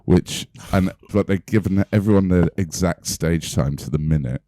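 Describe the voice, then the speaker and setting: Deep dark-toned guy on a podcast, dry close mic, keeps laughing through his stories. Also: normal speed, no room sound. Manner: wry, laughs often mid-story. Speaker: comedian podcast co-host. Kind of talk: casual banter.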